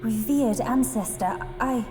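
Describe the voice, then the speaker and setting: An actress playing a young royal heiress in a game, dignified, earnest and formal. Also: female voice, fairly high pitch, takes it slowly. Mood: puzzled, reverent. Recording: echoing chamber, music behind.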